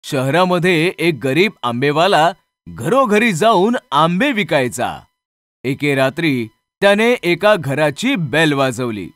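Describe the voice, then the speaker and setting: Fairly high-pitched male horror narrator. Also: big pitch swings, takes it slowly, a bit bright, dry recording, clean studio sound. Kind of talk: dramatic scripted horror narration.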